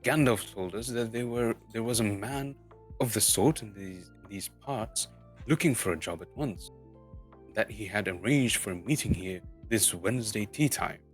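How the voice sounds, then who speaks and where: A man voicing a gruff, businesslike dwarf adventurer in a storybook, arguing emphatically. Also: fairly low pitch, moderate pace, soft background music, natural voice. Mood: brusque, insistent.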